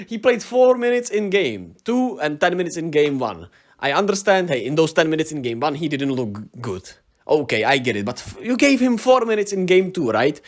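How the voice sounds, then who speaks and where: A man from the Czech Republic with a Czech accent, hosting his own channel with casual solo basketball commentary, chatty, with lots of fillers and tag questions. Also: on the high side. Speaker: sports channel host.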